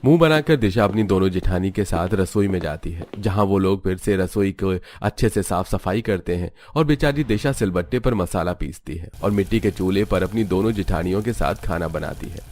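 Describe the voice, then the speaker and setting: A man's voice: sits low, brisk, few pauses, darkish tone. A man reading scripted narration for a cartoon family story.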